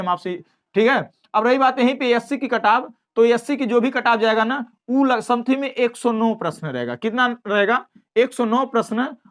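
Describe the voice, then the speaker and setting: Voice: mid-range voice, a bit bright. An exam-prep teacher giving an informal explainer, speaking emphatically and repeating key phrases with assuring tags. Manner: repetitive, emphatic, with recurring assuring tag phrases.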